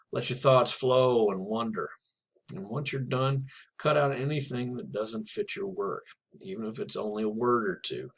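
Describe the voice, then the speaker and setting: A man's voice: fairly low pitch, a bit bright. A man teaching writing in a video lesson. He talks casually and encouragingly, with small laughs now and then. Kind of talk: instructional video talk.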